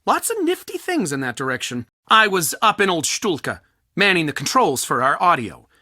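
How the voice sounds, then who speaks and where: A long-suffering male researcher from a video game. His voice is bright and swings widely in pitch, with a wry, self-pitying, theatrical delivery. The sound is close and dry. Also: big pitch swings, normal speed, no echo, exaggerated voice. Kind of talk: video game character dialogue.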